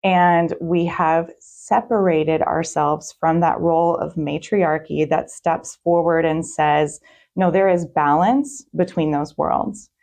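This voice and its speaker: A woman with a low-pitched voice speaks as a guest on a talk show. She answers questions in a conversational interview style. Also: fairly even intonation, slow, vocal fry, a little mellow.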